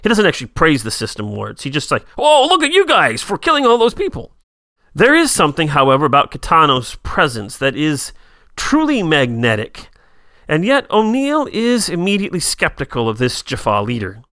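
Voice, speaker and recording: A man co-hosting a podcast, retelling a TV episode in quick casual chat with asides. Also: on the high side, wildly swooping pitch, bright, forceful tone, no room sound, clean studio sound. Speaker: podcast co-host.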